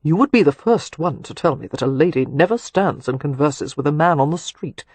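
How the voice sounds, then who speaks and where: A stern, protective young lord, brusque and implacable, giving short clipped orders, as a woman narrator voices him. The voice stays breathy and a little louder than her narration. Close, dry storybook recording. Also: deep voice, animated, fairly quick, no room sound. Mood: curt.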